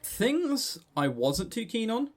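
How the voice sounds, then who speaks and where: A man's voice: high-pitched, very animated, slow pace. A man with an English accent co-hosting a podcast, reviewing casually in a rambling way and often stammering over repeated words. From England. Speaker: podcast co-host.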